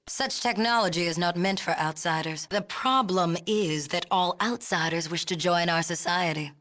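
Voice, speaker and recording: A woman's voice: on the low side, fairly bright tone, natural voice. A woman playing a haughty, imperious leader of a city in a video game, speaking formally and precisely with a condescending manner. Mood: formal, wary. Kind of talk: video game character dialogue.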